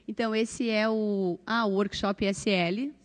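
A woman's voice: medium pitch, steady tone, a little slow. A middle-aged woman with a southern Brazilian accent talks informally on a panel into a handheld microphone, often checking in with short tag questions. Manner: conversational, frequent tag questions. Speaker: volunteer translator, panelist. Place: conference panel stage, indoors.

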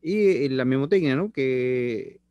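A man's voice: mid-range voice, animated, slow pace, darkish tone, no room sound, highs cut off. Man teaching chemistry. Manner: chatty, repeats phrases, checks in with students. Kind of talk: online class lecture. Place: small room indoors. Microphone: laptop webcam on video call.

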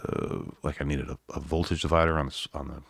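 A man giving a casual, unscripted electronics demo in a halting, self-deprecating way with wry humor. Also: extremely low pitch, steady tone, vocal fry, fairly bright tone, husky, no echo, clean studio sound. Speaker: electronics hobbyist presenter. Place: indoors at a home workbench.

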